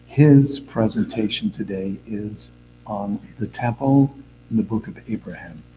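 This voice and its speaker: A low-voiced man moderates a conference, introducing the next speaker with fillers and a self-correction. A faint hum sits behind his voice. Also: measured pace.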